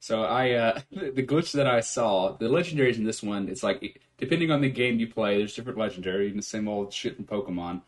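Low-voiced laid-back male podcast host. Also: lively intonation, fast talker, few pauses. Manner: laid-back, swears freely, laughs often. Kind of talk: casual chat.

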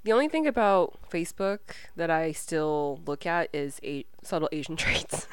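A woman's voice: deep voice, expressive, normal speed, smooth, no echo. A woman in her twenties co-hosting a podcast, chatting in a playful, giggly way. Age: 20s. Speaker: podcast co-host. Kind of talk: casual chat.

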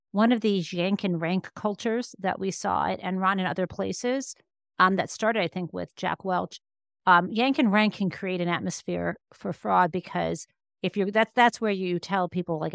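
A woman with a fairly low voice, recorded dry and close to the room-free side. She explains things as an expert guest in a podcast interview. Her delivery is conversational and emphatic, and she rephrases as she goes. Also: vocal fry, no room sound. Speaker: interview guest, law professor and author.

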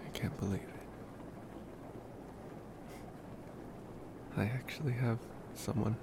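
A man playing a devoted young college vampire in an intimate ASMR roleplay, speaking in a hushed, halting, tender way. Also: mid-range voice, close to an everyday voice. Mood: happy, adoring. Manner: hushed, halting, soothing, affectionate. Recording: clear steady background ambient noise.

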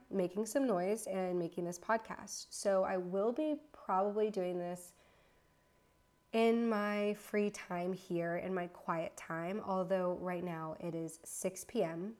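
A woman in her thirties from the United States talks casually into her podcast mic at home. She rambles, doubles back and corrects herself, with a slightly nervous edge. Her voice sits at an ordinary middle pitch. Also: a little mellow. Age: 30s. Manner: chatty and rambling, with restarts and self-corrections. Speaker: podcast host. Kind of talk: casual solo podcast chat. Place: her apartment, indoors.

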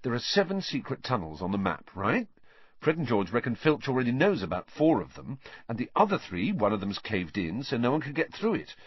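A man voicing a calm, low-key teenage schoolboy in a storybook reading, quiet and matter-of-fact. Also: fairly quick, breathy edge, dry recording, highs cut off. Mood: explaining.

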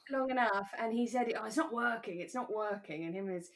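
A woman co-hosting a podcast, chatting in a relaxed, conversational way about a radio drama. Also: a little high, fairly quick. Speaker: podcast co-host.

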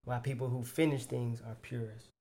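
A man's voice: medium pitch, level tone, moderate pace, heavy background noise. A man from the US East Coast, a guest on a podcast, chatting casually and arguing his point by repeating words for emphasis. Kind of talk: casual chat.

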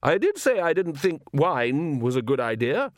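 Man putting on a haughty, high-voiced vampire countess. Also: very animated, normal speed, dry recording, clean studio sound. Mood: queasy, reproachful. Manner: haughty, cutting. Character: scornful, scolding female vampire countess, aristocratic mother.

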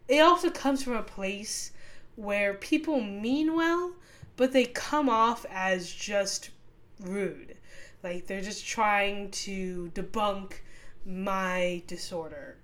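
A young woman hosting a casual solo podcast, talking with a sore throat and rambling through many fillers and restarts. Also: medium pitch. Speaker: podcast host.

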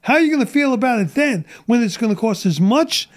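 A man's voice: very high-pitched, big pitch swings, fairly quick. A middle-aged man ranting bluntly and profanely in a casual podcast chat, losing his voice. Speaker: guest.

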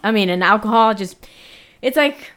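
A woman's voice: mid-range voice, normal speed, fairly bright tone. A woman with a slight Spanish-influenced accent, co-hosting a casual true crime podcast and telling the story in a chatty way with fillers.

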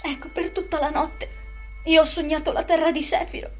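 A woman's voice: high voice, fairly even intonation, moderate pace, natural voice. A woman voicing an anguished, earnest teenage magic knight heroine in a dramatic, fervent amateur dub. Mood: wistful, solemn. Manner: dramatic, fervent monologue delivery. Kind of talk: Italian amateur anime fan dubbing. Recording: homemade lo-fi desk microphone, music behind.

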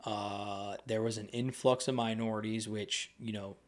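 Young guy on a podcast, kinda low voice, rambly and loose, lots of um and like. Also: fairly even intonation, measured pace. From United States. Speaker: podcast guest. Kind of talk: casual conversation. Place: podcast studio.

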